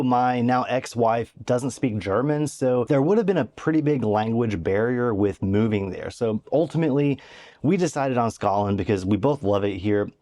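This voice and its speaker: A man's voice: on the low side, mellow. American man, dry matter-of-fact vlogger. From Oklahoma, USA. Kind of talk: scripted vlog narration.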